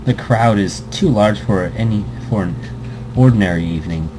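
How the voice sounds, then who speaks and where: A man's voice: on the low side, normal speed. A man hosting a let's play, reading a visual novel aloud with commentary, tripping over words and restarting. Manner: stumbles and restarts words, chuckling asides. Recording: air conditioning hum behind.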